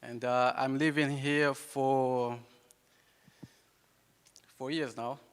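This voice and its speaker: Young Brazilian man, Yawanawa native speaker, halting public talk, slow delivery, long hall reverb. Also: mid-range voice, level tone, smooth. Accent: Brazilian Portuguese. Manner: halting, repeats words, laughs often. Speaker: invited speaker. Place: hall with an audience. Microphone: handheld microphone.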